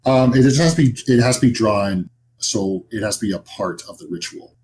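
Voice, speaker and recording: A man's voice: fairly low pitch, fairly quick, a little mellow, slight background noise, low-quality recording. A man narrating as game master of a tabletop horror roleplaying game, describing scenes in a conversational, improvised way. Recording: music behind the voice. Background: music.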